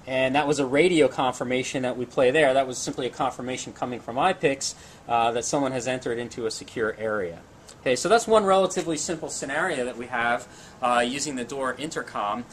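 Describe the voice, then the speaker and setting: A man's voice: medium pitch, a little fast. Man demonstrating gear, noisy room. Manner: plain-spoken, explanatory. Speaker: presenter demonstrating technical products. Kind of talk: informal technical product demonstration. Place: indoor equipment lab or demo room. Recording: clear background noise in room.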